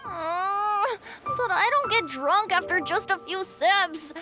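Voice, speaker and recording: A woman's voice: extremely high pitch, takes it slowly, over loud music. A woman voicing a carefree boyish bard in a video game, playful, theatrical and wheedling. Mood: pleading.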